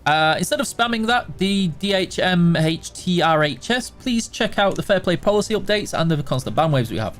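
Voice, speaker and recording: A Yorkshire man with a fairly high voice chats through a live game stream, fielding viewer questions one after another. He is casual and self-deprecating, often joking at his own expense. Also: lively intonation, moderate pace, hardly any pauses, a bit bright, soft background music. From Yorkshire, England. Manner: chatty, self-deprecating, hums between answers.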